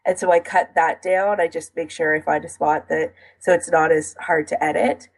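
A woman's voice: low-pitched, fairly even intonation, moderate pace, husky. A woman co-hosting a casual podcast, chatting and explaining her routine in detail in an informal way. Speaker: podcast co-host.

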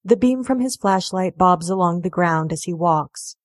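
A woman's voice: on the low side, expressive, normal speed, no echo, studio quality. A woman reading a story aloud as scripted narration. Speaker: audiobook-style fan fiction reader.

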